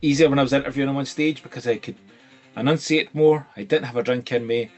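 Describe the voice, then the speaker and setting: A man's voice: mid-range voice, fairly even intonation, quick pace, soft background music. A Scottish man co-hosting a casual chat from home, jokey and rambling. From Scotland. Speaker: co-host.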